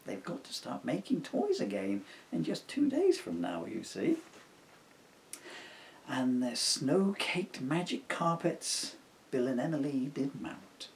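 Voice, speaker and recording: A man reading his own rhyming children's book aloud as an expressive storyteller. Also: medium pitch, takes it slowly, creaky, slight background noise.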